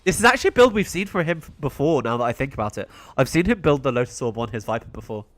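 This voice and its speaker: A man's voice: mid-range voice, very animated, racing, dry recording. A young British man giving solo play-by-play commentary on a live esports stream, chatting with his viewers between plays. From Britain. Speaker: esports caster.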